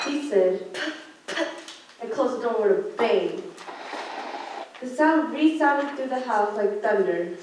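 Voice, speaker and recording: A woman's voice: on the high side, darkish tone, natural voice. A young woman student reading scripted story narration for a radio play. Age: teenager or young adult. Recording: echoey room, far mic, background noise.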